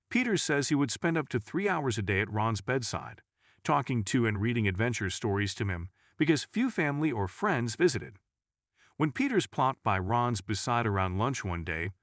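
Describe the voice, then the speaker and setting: Male storyteller for scripted true stories, lowish voice with lively melody, recorded dry. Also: no echo. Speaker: narrator.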